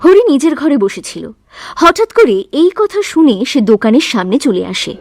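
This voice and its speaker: Woman narrating a cartoon story, high and lively. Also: moderate pace, slight background noise. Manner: plain expressive storytelling.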